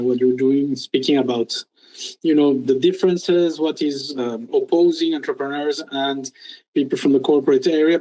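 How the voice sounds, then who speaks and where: A man's voice: medium pitch, a little mellow, low-quality recording. Hesitant man from Peru. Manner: hesitant, many ums and restarts. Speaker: guest entrepreneur. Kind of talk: casual interview talk.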